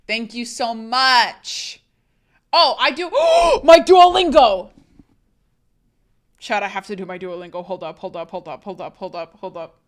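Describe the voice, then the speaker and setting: A woman talking to her stream chat in a relaxed, casual way, at an ordinary middle pitch. She rattles off short phrases and repeats them, breaking into quick apologetic asides.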